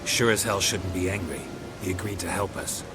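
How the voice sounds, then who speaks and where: An actor playing a gruff, stoic, middle-aged monster hunter in a video game, terse and deadpan. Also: male voice, low-pitched, normal speed, noisy background, natural voice. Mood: terse, dry. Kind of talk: video game cutscene acting. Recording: loud music and sound effects behind.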